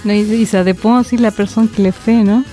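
A woman hosting a casual radio podcast, asking relaxed questions with some stammered restarts. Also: medium pitch, fast talker, soft background music. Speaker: podcast host.

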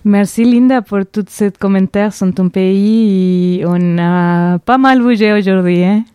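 A woman hosting a casual radio podcast, asking relaxed questions with some stammered restarts. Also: mid-range voice, a little slow, clear, resonant tone, no echo, clean studio sound. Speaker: podcast host.

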